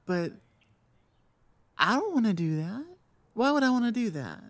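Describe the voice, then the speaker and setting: A woman's voice: fairly high pitch, very animated, unhurried, dry recording. A woman playing a rebellious young oni in a roleplay audio, with an exasperated, rambling, stop-start delivery. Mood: exasperated, venting. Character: rebellious young female oni venting about tradition. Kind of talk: roleplay audio acting, one-sided conversation.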